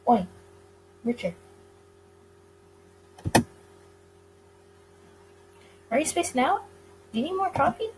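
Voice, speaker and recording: A woman voicing a nosy, excitable male police boss in a visual novel, prodding and exclaiming. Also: high voice, brisk, voice pushed up, low-quality recording. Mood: puzzled. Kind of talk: visual novel character reading. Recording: clear background noise.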